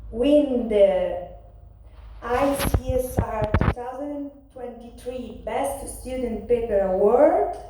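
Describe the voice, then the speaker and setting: A woman with a strong Italian accent, announcing paper award finalists and winners at a conference, halting over names and apologizing for her pronunciation. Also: sits high, noisy background. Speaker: award presenter. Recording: echoey hall, distant mic.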